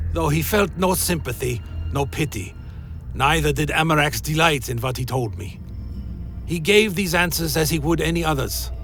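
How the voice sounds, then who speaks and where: An actor playing a tormented vampire who narrates a gothic horror radio play in a grave, literary manner. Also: male voice, fairly high pitch, vocal fry, a bit bright. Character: tormented male vampire recounting his dark journey. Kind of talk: dramatic first-person gothic narration.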